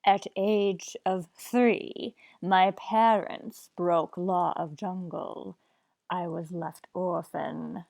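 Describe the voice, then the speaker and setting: A woman playing a sham exotic jungle goddess in an old-time radio comedy, speaking in stilted, deadpan broken sentences. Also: lowish voice, extremely slow, gravelly, dry recording, exaggerated voice. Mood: solemn deadpan. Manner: deadpan, stilted, drops articles. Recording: slightly dull, muffled sound.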